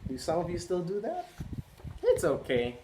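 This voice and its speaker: A reader talking gently to children, reading a picture book aloud and asking them questions. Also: mid-range voice, huge pitch swings, normal speed. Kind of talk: read-aloud for kids. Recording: roomy echo with faint noise.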